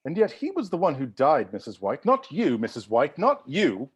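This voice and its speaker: A man playing a fussy, pedantic, accusing butler in a script table read, with a husky voice. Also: fairly high pitch, wildly swooping pitch, moderate pace, heavily acted. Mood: pointed, knowing. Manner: pedantic, pointed, theatrical. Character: fussy, pedantic, accusing male butler hosting suspicious dinner guests.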